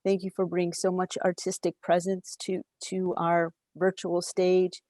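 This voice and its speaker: A woman hosts a virtual theater talkback over a video call, gushing thanks and reading out audience questions. Her voice sits somewhat low and sounds dark and mellow. The sound is close and dry, with no room echo. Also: monotone, unhurried. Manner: effusive, reads audience questions aloud. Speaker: library program host and moderator.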